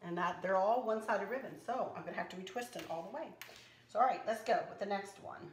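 A slightly hoarse woman hosting a casual craft tutorial, chatting and teasing herself as she works. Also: mid-range voice, quick pace, reverberant, a little hiss or hum. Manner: chatty, self-teasing, talks while working. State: a little hoarse. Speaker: craft channel host. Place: kitchen counter indoors.

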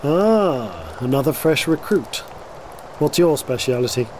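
Dark-toned, condescending gatekeeper. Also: male voice, medium pitch, very animated, a little slow, slight background noise. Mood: dismissive. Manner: curt, condescending. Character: dismissive, condescending male recruiter at ward house. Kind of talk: fantasy audio drama acting.

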